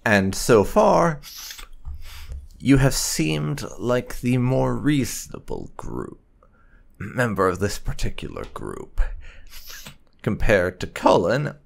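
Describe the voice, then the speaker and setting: A man's voice: medium pitch, big pitch swings, takes it slowly, creaky, pauses often. A man hosting a let's-play, giving casual, musing commentary as he plays. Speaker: gaming channel host.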